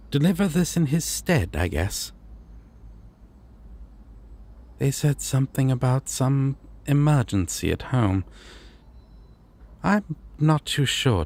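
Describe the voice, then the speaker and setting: Man as a courteous, slow-spoken god of dreams. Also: mid-range voice, a little mellow. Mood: polite, apologetic. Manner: polite, formal, measured, theatrical pauses. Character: courteous, formal male deity of dreams, calmly mischievous. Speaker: voice actor in audio roleplay. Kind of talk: immersive roleplay addressed to the listener.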